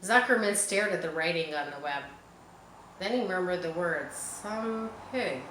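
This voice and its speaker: Animated woman reading a children's storybook. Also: lowish voice, moderate pace. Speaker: audiobook reader. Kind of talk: expressive children's storybook narration. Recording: echoey room, faint background noise.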